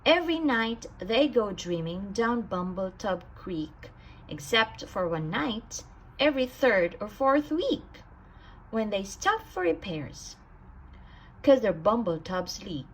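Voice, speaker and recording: Woman, children's storybook read-aloud, unhurried pace, lively swooping intonation, emphatic rhymes. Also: medium pitch, breathy edge, poor audio. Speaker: storybook reader.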